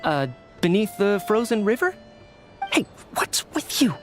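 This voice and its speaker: A man's voice: sits high, big pitch swings, a little slow. A man voicing an earnest, flustered researcher in a video game, hesitant and prone to stammering. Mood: admiring. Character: flustered, earnest male researcher, an apologetic theater fan. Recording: music under the voice.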